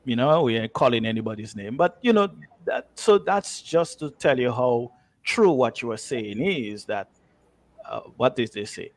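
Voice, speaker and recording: A man's voice: mid-range voice, animated, normal speed. Man telling anecdotes in an interview. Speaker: radio drama writer, interview guest. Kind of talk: conversational interview talk.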